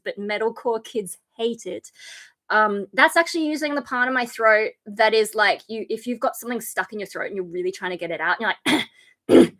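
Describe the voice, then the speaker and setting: A tired woman streamer and metal vocalist chatting casually, explaining screams with lots of fillers and harsh scream demonstrations. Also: a little high. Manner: explains loosely with many fillers, breaks into harsh unvoiced scream demos. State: tired, voice worn to fry.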